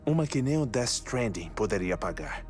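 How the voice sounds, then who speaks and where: A man with a Brazilian accent acting a grieving, eccentric scholarly scientist in a dubbed video game, telling things in a measured, reflective way. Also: fairly low pitch, sing-song, a little mellow, soft background music. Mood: earnest, explanatory.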